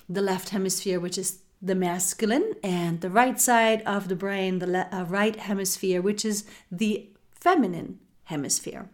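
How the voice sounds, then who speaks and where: A woman from Germany, hosting a spiritual podcast in a soft-spoken way and addressing her listeners as sisters. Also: medium pitch. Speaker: podcast host.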